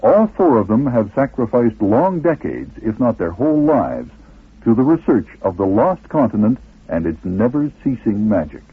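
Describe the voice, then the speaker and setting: A man's voice: mid-range voice, sing-song, normal speed, slightly rough. A man reading scripted narration as the host of a radio documentary. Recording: muffled lo-fi old radio recording.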